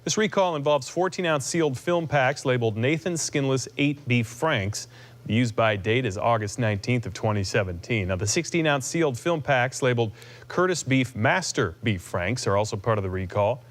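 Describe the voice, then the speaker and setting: A man's voice: mid-range voice, lively intonation, moderate pace. An American man reading scripted news items as an anchor.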